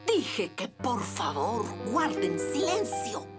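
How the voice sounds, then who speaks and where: A woman's voice: on the high side, very animated, slow. A woman voicing a stern, grumpy, exasperated school principal in a Spanish-dubbed children's cartoon. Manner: strict, exasperated. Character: stern, grumpy female rabbit school principal, never smiling. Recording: music behind the voice.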